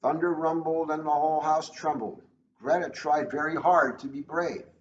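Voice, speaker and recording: A man's voice: on the high side, steady tone, moderate pace, echoey room. A man, a police officer, reading a children's storybook aloud in a casual, informal style. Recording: dull lo-fi audio, faint noise.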